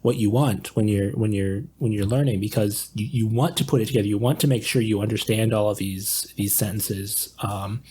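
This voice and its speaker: A man with a husky voice chatting casually as a guest on a podcast. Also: lowish voice, fairly even intonation, moderate pace, few pauses, dark tone, slight background noise. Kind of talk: casual conversational discussion.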